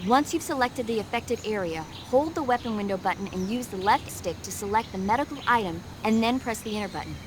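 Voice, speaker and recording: An actress playing a calm female military support medic, giving clear step-by-step instructions in a scripted game tutorial. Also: mid-range voice, brisk, hardly any pauses, close to an everyday voice. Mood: calm, instructive. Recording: insect noise behind voice.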